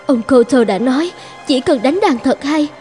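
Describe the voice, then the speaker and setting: High-voiced sorrowful young wife. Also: female voice, lively intonation, moderate pace, warm tone, soft background music. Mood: anxious, relieved. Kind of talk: animated fairy-tale dialogue.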